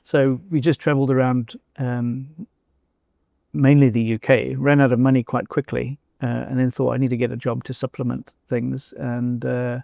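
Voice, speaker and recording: An older man from South Africa with a South African accent, talking thoughtfully in an interview, pausing often with fillers and restarts. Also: medium pitch, flat delivery, gravelly, a little mellow, dry recording. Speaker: guest, former company CEO. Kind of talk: casual interview conversation.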